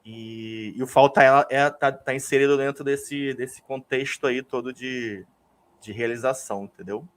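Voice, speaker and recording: A filmmaker from Rio de Janeiro with a Carioca accent, talking about his work in casual, energetic slang. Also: medium pitch, moderate pace. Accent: Carioca Brazilian Portuguese. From Rio de Janeiro, Brazil. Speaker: filmmaker guest.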